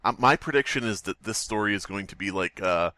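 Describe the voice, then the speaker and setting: A man's voice: fairly low pitch, brisk, bright, forceful tone, dry recording. A sarcastic, wisecracking man co-hosting a podcast, joking casually and reading stories aloud. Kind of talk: casual joking chat and mock-dramatic story reading.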